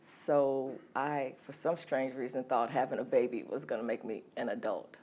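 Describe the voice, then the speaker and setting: Woman from california, deep voice, lots of ums, opening up about her past. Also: steady tone, normal speed. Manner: hesitant, many ums, soft laughs. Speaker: interview guest. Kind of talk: candid personal storytelling.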